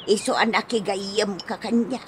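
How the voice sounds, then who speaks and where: A woman's voice: medium pitch, steady tone, normal speed, slight background noise, natural voice. An actress playing a reproachful woman betrayed by her indebted friend in a radio comedy drama, drawing out her scolding. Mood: reproachful, hurt.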